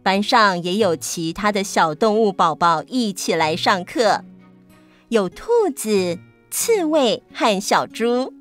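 A woman's voice: mid-range voice, animated, slow pace, soft background music. A woman narrating a scripted story for children.

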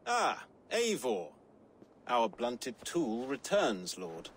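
A man's voice: mid-range voice, wildly swooping pitch, very slow, a little hiss or hum, exaggerated voice. An actor playing a contemptuous, loyal Saxon king's man in a video game, haughty and mocking. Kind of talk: dramatic video game acting.

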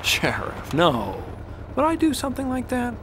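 An actor voicing a cocky, easygoing young male race car in an animated game, with a breezy, wisecracking manner. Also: extremely high pitch, normal speed, background noise, exaggerated voice. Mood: playful. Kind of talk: animated game cutscene acting.

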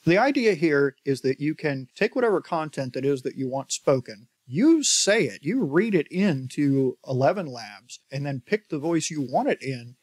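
A man in his forties with an American accent hosting a casual software tutorial in a conversational, emphatic way. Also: a little high, no room sound. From United States. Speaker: tutorial host.

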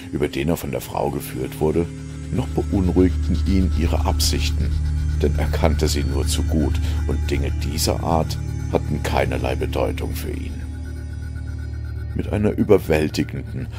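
Very deep, creaky male storyteller. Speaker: narrator. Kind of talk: scripted science fiction audio story narration. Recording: music under the voice. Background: music.

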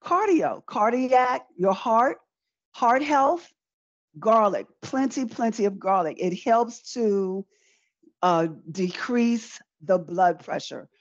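A woman's voice: a little high, expressive, slow pace, dry recording. A middle-aged woman giving a live online cooking demonstration, chatty and conversational, repeating phrases for emphasis as she teaches. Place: indoor room with folding screen, demo table. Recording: dull, lo-fi video-call audio.

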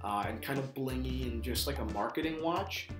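A man's voice: medium pitch, moderate pace, music in the background. Young man chatting in an echoey room. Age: 20s. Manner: rambling, peppered with "you know". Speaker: watch channel host. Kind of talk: casual enthusiast chat to camera. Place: small indoor office, seated at a desk.

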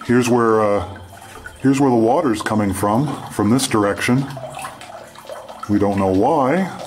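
A man from Canada giving casual, halting running commentary as he explores underground and describes what he sees. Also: fairly low pitch, darkish tone. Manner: halting, unscripted descriptions of surroundings. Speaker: mine explorer and video host. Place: underground in an abandoned mine tunnel.

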